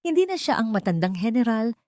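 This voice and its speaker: A woman's voice: a little high, big pitch swings, fast, a little mellow, no echo, studio quality. A woman reading scripted, dramatic story narration.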